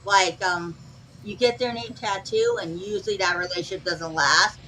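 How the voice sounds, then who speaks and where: A woman's voice: medium pitch, animated, normal speed, bright, forceful tone, a little hiss or hum, low-quality recording. A woman in her forties chatting casually as co-host, blunt and frank, with some swearing. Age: 40s. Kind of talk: casual chat.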